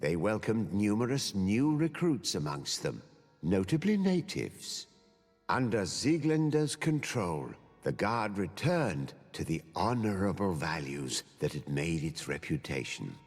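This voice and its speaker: An older male mentor narrates a fantasy game epilogue with formal gravity and fondness. His husky voice moves expressively up and down in measured phrases. Music plays behind him as he tells the tale. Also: low-pitched, sing-song, exaggerated voice. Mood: solemn, fond. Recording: long reverb under clear music.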